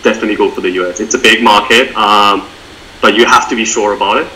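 A young man in his twenties, speaking English as a second language, answering interview questions over a webcam from home in an earnest, halting way with frequent restarts. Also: lowish voice, steady tone, sharp tone, slight background noise, poor audio. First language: not English. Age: 20s. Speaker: interview guest, online seller. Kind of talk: casual video-call interview. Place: small room at home. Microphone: video call webcam.

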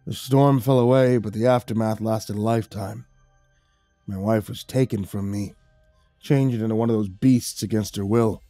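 A man's voice: lowish voice, fairly even intonation, normal speed, dark tone, dry recording, faint music behind, close to an everyday voice. A man voicing a tired, guilty older father in a spoken horror story, sighing as he talks. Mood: bitter.

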